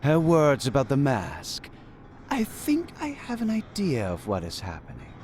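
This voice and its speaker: An actor playing an enigmatic, knowing god of deception in a fantasy audio drama, speaking in a measured, formal, cryptic way. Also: male voice, mid-range voice, huge pitch swings, darkish tone, slight background noise. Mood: thoughtful. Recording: music bed under the voice.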